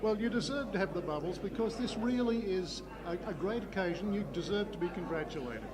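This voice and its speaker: High-voiced Australian male field reporter. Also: brisk, crowd noise. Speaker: reporter interviewing guests. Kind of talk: chatty on-location interviewing. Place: outdoors at a Highland games. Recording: music clearly behind the voice. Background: music and outdoor event sound.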